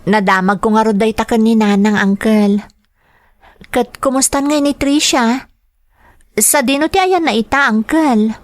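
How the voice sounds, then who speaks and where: High-voiced young Filipino daughter played by a woman. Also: unhurried, long pauses, dry recording, clean studio sound, lightly acted. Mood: earnest, encouraging. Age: young adult. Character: dutiful, earnest young daughter, a hopeful new graduate. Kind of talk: Ilocano radio drama acting.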